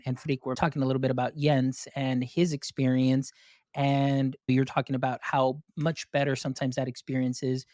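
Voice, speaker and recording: A man's voice: medium pitch, darkish tone, no room sound, studio quality. A man hosting a podcast interview, effusive and enthusiastic as he introduces his guests. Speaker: podcast host.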